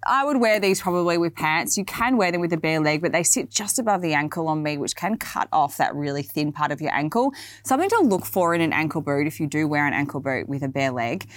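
A young woman with an Australian accent talks through her favourite pieces in a relaxed, gushing way, full of "like" and "um." Her voice sits low for a woman. The sound is close and dry, with no room around it. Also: no room sound. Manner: chatty, enthusiastic, lots of likes and ums. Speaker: fashion podcast host. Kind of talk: casual product walkthrough.

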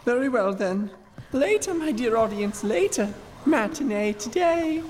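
A man's voice: big pitch swings, unhurried, a little mellow, heavily acted. An actor voicing an unhinged, theatrical man with childlike grandeur in a radio drama read. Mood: grandiose, eerie. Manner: theatrical, high-pitched, drawn out. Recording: roomy long reverb, faint noise.

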